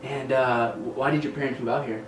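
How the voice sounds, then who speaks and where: A young man hosting a casual interview, eager and relaxed, often stumbling over his questions. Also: medium pitch, fairly quick. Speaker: podcast host. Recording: echoey room, mic at a distance, faint noise.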